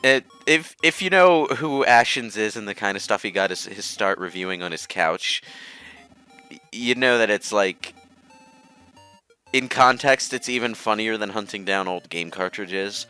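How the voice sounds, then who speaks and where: A man's voice: lowish voice, normal speed, pushed and bright, smooth, faint music behind. A man casually commentating on a game with friends. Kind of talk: casual group banter commentary.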